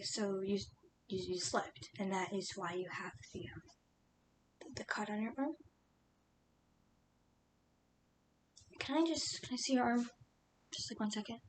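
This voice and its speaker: A woman voicing a calm, patient female police detective, whispering softly and asking careful, soothing questions in an ASMR roleplay. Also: normal speed, lightly acted, poor audio. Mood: probing. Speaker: interrogator. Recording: steady low rumbling noise.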